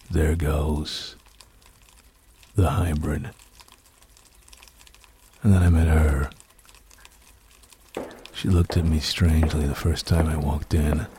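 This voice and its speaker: A man playing a world-weary, drunken, nihilistic film noir detective in a brooding, deadpan radio monologue. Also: a bass voice. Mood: bleak, weary, then wistful. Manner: slow, brooding, deadpan narration. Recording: noisy, rain sound behind. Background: rain.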